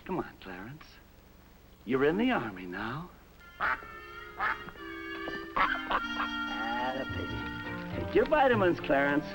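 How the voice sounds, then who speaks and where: A man's voice: mid-range voice, huge pitch swings, takes it slowly, pauses often, exaggerated voice, low-quality recording. A male actor playing a blustering, slangy American army sergeant in a 1950s film comedy. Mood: coaxing. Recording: old 1950s film soundtrack with music.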